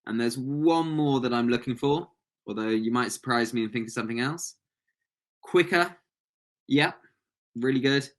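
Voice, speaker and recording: A man's voice: mid-range voice, moderate pace, pauses often. A man and English speaker teaching an online language lesson, explaining points and prompting students with encouragement. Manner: encouraging, praising students' answers. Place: online webinar call.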